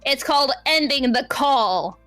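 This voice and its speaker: A woman's voice: high-pitched, expressive, a little slow. A woman co-hosting a casual podcast, blunt and exasperated, swearing freely. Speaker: podcast co-host. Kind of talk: casual chat. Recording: soft background music.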